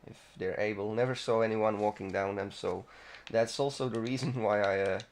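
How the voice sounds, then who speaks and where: A man's voice: lowish voice, fairly even intonation, normal speed, slight background noise. A man hosting a gaming video, giving casual, unscripted walkthrough commentary in a rambling, self-interrupting manner.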